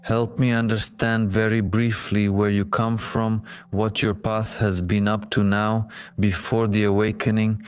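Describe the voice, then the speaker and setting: A man hosts a podcast, delivering a scripted introduction and questions in a measured, solemn, even manner. His voice sits low and smooth, with very little rise and fall. Faint music plays underneath. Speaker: podcast host.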